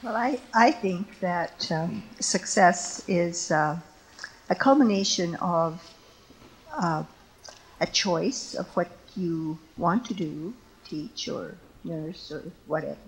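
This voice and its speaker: Slow, thoughtful older woman panelist. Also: on the low side, rough recording. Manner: halting, thoughtful, many fillers. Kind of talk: answering an audience question. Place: lecture stage before an audience.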